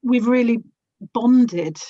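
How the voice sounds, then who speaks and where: A woman's voice: a little high, takes it slowly, highs cut off. A woman chatting casually and expressively as an interview guest, with an enthusiastic manner. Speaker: guest, audio drama actor and producer.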